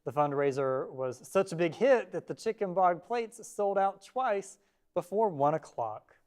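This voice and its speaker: A man's voice: high voice, expressive, unhurried, darkish tone. A man anchoring a local television newscast, reading scripted stories from a studio. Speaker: TV news anchor.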